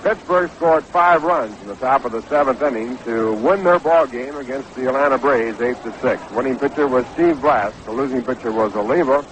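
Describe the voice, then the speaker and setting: A slightly noisy vintage radio broadcast carries a man with a medium-pitched voice. He works as a 1960s baseball play-by-play announcer at the ballpark. His delivery is measured and orderly, in the classic sports commentary style of the era.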